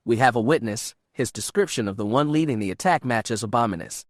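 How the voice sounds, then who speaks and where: A man's voice: mid-range voice, fairly quick, no room sound, lightly acted. A man plays a hardened woman colonel in a science-fiction audiobook. The delivery is cold, clipped and businesslike, with a determined military firmness and a dry close sound. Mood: grim, formal. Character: cold, determined female colonel, veteran sniper.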